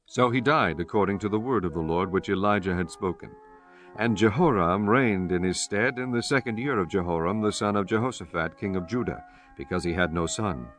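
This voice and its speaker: A man's voice: deep voice, brisk, a bit bright. A man narrating a dramatized scripture audiobook in a solemn, formal, scripted style. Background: music.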